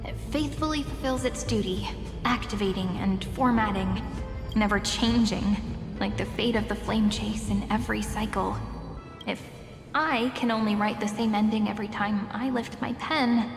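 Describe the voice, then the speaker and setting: A woman playing a gentle, wistful young storyteller in a fantasy game, speaking in a tender, reflective way. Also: mid-range voice, steady tone, moderate pace, vocal fry, natural voice. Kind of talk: dramatic fantasy game dialogue. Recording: echoey long reverb over music.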